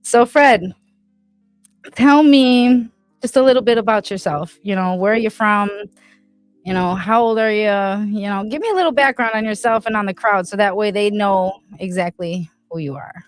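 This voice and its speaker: A woman hosts a laid-back podcast, talking in loose, winding questions padded with fillers. Her voice sits dry and close to the mic, with faint music playing softly underneath. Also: medium pitch, moderate pace, smooth, dry recording. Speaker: podcast host. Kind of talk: casual podcast chat.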